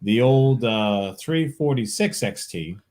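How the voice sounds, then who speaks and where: A man's voice: mid-range voice, lively intonation, slow, a little mellow. A man hosting a live show, answering tech questions in an informal, plain-spoken way. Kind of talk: casual tech Q&A talk.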